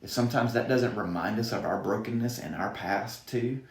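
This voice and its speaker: A man's voice: lowish voice, normal speed, creaky, echoey room. A man with a Southern accent, a pastor speaking earnestly in a podcast interview and quoting scripture. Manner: earnest, preacherly, quotes scripture. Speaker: student pastor, guest.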